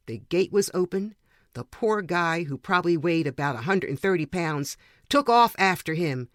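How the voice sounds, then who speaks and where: A man with a slightly high voice reads a light, humorous story aloud in a narrator's style. The recording is dry and close, with little sound of the room. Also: a bit bright, dry recording. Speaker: reader of short stories for a podcast.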